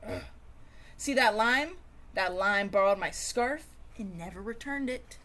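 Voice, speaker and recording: A woman's voice: mid-range voice, expressive, measured pace, sharp tone. A woman voicing a grumpy, touchy sour grape in a children's storybook, snappy and emphatic. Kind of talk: first-person children's storybook read-aloud.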